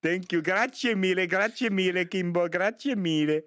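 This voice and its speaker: A man's voice: high-pitched, moderate pace, a bit bright, no room sound, studio quality. A man hosting a live stream, reading viewer confessions aloud in a playful, theatrical way and laughing often. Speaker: streamer host.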